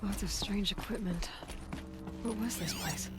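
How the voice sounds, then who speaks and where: An actress playing a resourceful young explorer in a video game, thinking aloud in a measured, musing way. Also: female voice, medium pitch, very noisy, natural voice. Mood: curious, puzzling. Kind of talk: video game character self-talk.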